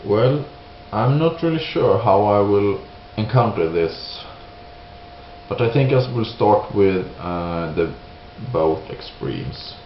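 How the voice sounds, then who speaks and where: A man from Sweden with a Swedish accent, giving an unscripted opinion monologue in halting English, hesitant and searching for words. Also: on the low side. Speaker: video creator giving opinions. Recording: roomy, dull lo-fi sound with hiss.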